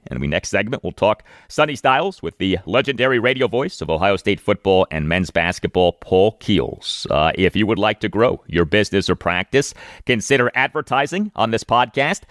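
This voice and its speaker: A man hosting a sports podcast, reading scripted segments and ads in an emphatic, deliberate announcer delivery. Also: on the low side, fairly bright tone, dry recording, studio quality. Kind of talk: scripted narration and ad reads.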